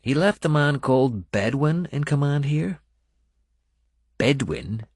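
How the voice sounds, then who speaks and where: A man's voice: medium pitch, expressive, takes it slowly, slightly rough, no room sound, clean studio sound, voice pushed up, full character voice. A male narrator playing a seasoned warlord who speaks in a probing, commanding manner. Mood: protesting. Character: seasoned male warlord, gentle with her, bitter about war.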